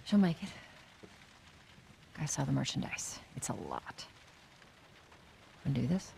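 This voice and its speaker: A woman's voice: a bass voice, animated, measured pace, creaky, mellow, slight background noise, full character voice. A woman voicing a tough, hard-edged, commanding smuggler in a cinematic video game, with a brusque delivery. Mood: businesslike, tired.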